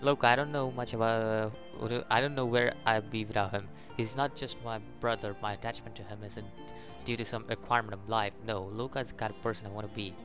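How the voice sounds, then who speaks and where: A man's voice: lowish voice, steady tone, fast, hardly any pauses. A man giving casual playthrough commentary, reading game text aloud and tossing in jokey side remarks. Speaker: gaming video host. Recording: faint music under the voice. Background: video game music.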